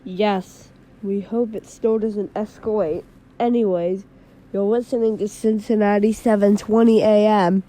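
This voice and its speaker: A woman playing a radio host giving halting, measured emergency updates in a fictional broadcast. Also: mid-range voice, steady tone, mellow, natural voice, low-quality recording. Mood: measured, concerned. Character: female radio host relaying werewolf invasion news.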